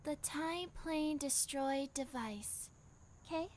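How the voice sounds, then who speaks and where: A woman playing a gentle young time traveller in an anime fan dub, patiently explaining and reassuring. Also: high-pitched, slow pace, slight background noise. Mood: gentle, assured. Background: background music.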